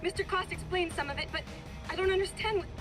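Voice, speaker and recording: A woman's voice: very high voice, quick pace, over music. A woman playing a bewildered, vulnerable young daughter in a video game. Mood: confused. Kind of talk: video game dramatic acting.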